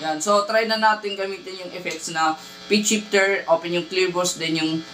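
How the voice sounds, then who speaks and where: A man's voice: high-pitched, fairly even intonation, normal speed, bright, forceful tone, poor audio. A man giving a casual guitar gear demonstration, often tagging his phrases with a short check-in word. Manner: punctuates phrases with a tag word seeking agreement. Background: electric guitar playing through effects.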